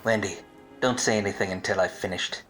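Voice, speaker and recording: An actor playing a wise-cracking, obtuse hard-boiled private eye in a parody of 1940s detective radio plays, with wordy deadpan delivery. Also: male voice, fairly low pitch, brisk, over music, natural voice. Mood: awkward earnest. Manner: deadpan, wordy noir narration.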